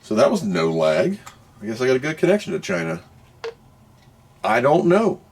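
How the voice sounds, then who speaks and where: A man's voice: medium pitch, huge pitch swings, normal speed, darkish tone, a little hiss or hum. A man giving a casual, unscripted product review as host, thinking aloud and grumbling as he goes. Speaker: host of a product test video.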